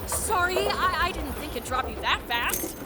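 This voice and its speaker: A woman's voice: high-pitched, lively intonation, normal speed, noisy background. A woman voicing a feisty, impatient raccoon vigilante in an audio drama, sarcastic and brusque. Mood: uneasy. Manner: snappy, sarcastic. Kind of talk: audio drama cartoon-like acting.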